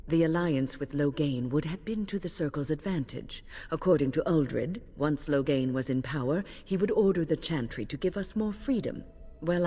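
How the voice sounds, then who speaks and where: A woman playing an older mage in a fantasy video game, grave, weary and composed, telling things in a measured way. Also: deep voice, mellow, slightly rough, big-room sound.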